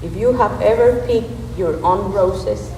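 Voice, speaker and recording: A woman's voice: medium pitch, measured pace, a little mellow. A woman earnestly reciting a script as a church readers theater performer. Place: large reverberant church space. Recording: echoey hall, distant mic, background noise.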